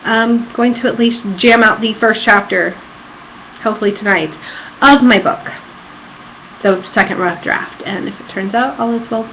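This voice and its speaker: A woman's voice: fairly high pitch, normal speed, a bit bright. Woman vlogger with dull lo-fi sound. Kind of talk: casual rambling personal vlog. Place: apartment room. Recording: dull lo-fi home recording, background noise.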